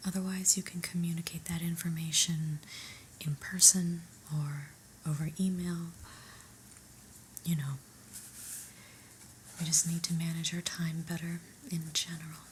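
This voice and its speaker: A woman whispering an ASMR roleplay as an unimpressed new office boss, deliberate, mildly stern and deadpan, in a breathy voice. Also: on the low side, slight background noise.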